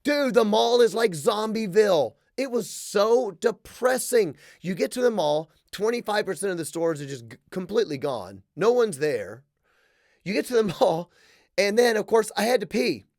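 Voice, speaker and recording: A man in his thirties hosts his own podcast, talking fast and animated with a sarcastic edge. His voice sits fairly high for a man. The sound is close and dry, with almost no room echo. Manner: animated, sarcastic, quick to joke. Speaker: podcast host. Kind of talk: casual solo podcast rant. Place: small room with wood-plank wall.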